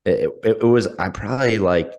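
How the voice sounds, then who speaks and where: A man co-hosts a relaxed wrestling talk show from afar over a video call. His voice is low and raspy, worn rough from a night of drinking, and he talks in loose, hesitant stretches. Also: brisk. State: hoarse, hungover. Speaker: co-host. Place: in Texas, remote.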